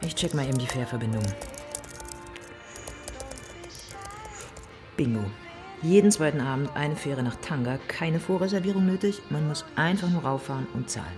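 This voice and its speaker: Actress playing woman robber, low voice, dry, organised, matter-of-fact, clear music bed, radio drama dialogue. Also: quick pace, close to an everyday voice. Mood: businesslike. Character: practical, organised woman robber, dryly sceptical.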